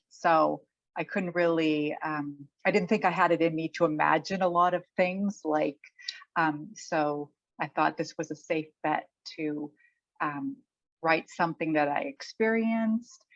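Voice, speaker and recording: A middle-aged woman chatting casually as an interviewed author, thinking aloud in a halting way. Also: sits low, no echo. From Canada. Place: remote online call. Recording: dull, muffled lo-fi audio.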